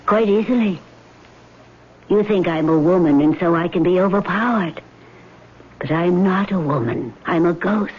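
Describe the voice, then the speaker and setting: An actress playing a vengeful, weary female ghost in a vintage radio drama, speaking in a haughty, commanding, deliberate way. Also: fairly low pitch, husky. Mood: brooding, menacing. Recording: muffled vintage radio recording with hiss.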